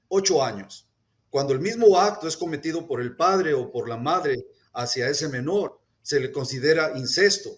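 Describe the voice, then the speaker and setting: Earnest Spanish-speaking man at a press panel. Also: on the high side. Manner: earnest, deliberate, with hesitations and restarts. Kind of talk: formal public statement.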